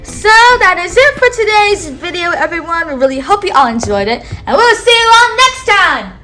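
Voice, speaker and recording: Woman, storybook read-aloud, teacherly, prompts to repeat words, high voice, soft music behind. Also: big pitch swings, moderate pace. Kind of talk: reading a picture book aloud to a child.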